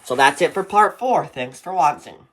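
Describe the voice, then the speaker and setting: A man's voice: fairly high pitch, animated, normal speed, fairly bright tone, a little hiss or hum, low-quality recording. A man giving informal step-by-step instructions in a plain, repetitive explaining manner. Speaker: tutorial presenter. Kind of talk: informal step-by-step how-to narration.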